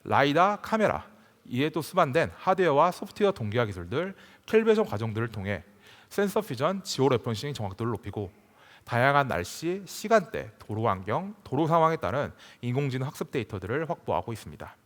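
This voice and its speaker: Male conference speaker, mid-pitched with lively intonation, formal scripted tech presentation, big hall reverb. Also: a little fast. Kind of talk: prepared technical presentation.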